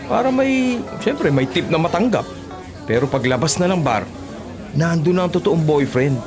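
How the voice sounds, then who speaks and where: A man's voice: a little high, animated, normal speed, music in the background, lightly acted. A man acting a teasing, streetwise market butcher in a Filipino radio drama, with colloquial, knowing banter. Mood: cynical, knowing.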